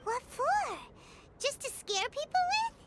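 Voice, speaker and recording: A tiny childlike fairy from a video game, with an extremely high, squeaky voice. She is excitable, easily scared and dramatic, swinging wildly in pitch. There is clear background noise behind her. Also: a little slow, full character voice. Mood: teasing. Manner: dramatic, refers to self in third person. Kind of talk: video game character acting.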